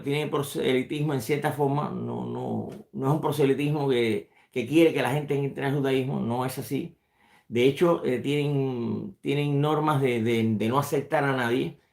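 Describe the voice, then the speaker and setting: A man teaching a religious class in a halting, searching way, often doubling back on a word before moving on. His voice has a gravelly edge. The room around him rings with echo. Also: medium pitch, a little mellow. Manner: halting, repeats words while searching for them. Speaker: rabbi teaching a study class. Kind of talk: unscripted religious lesson.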